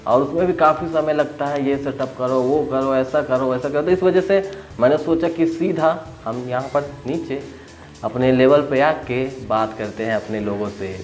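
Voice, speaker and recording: A man casually explaining an app to his viewers, conversational and often rephrasing his points. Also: medium pitch. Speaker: host of a tech app review channel.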